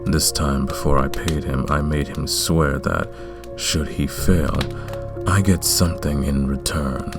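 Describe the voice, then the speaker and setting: A man playing a courtly, commanding, lovestruck vampire king in a romantic ASMR roleplay, speaking in a formal, deliberate way. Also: rumbling low, fairly even intonation, music in the background. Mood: stern, regretful.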